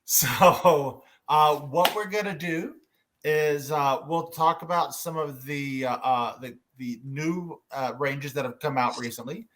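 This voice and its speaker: A man hosting a fan panel in casual conversation, with a hesitant, halting delivery. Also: a little high, fairly bright tone, slight background noise.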